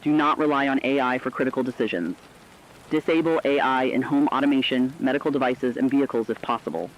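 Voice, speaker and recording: A man's voice: medium pitch, fast, a little hiss or hum, close to an everyday voice. A man playing a serious radio news anchor, reading scripted emergency advisories in a formal, precise, earnest manner. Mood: serious, urgent. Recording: dull lo-fi radio sound.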